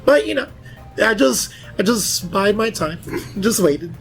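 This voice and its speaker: A man's voice: high voice, expressive, measured pace, vocal fry. A man chatting casually as a panelist in a fan discussion. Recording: faint music behind voice. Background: music.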